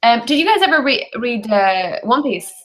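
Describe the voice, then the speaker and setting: A woman's voice: on the high side, sing-song, normal speed, fairly bright tone. A woman, chatting frankly and casually about books, with lots of filler "like". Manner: chatty, frank, uses "like" often. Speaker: book club panelist. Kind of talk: casual book discussion. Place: bedroom, indoors, on a video call. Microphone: computer mic on a video call.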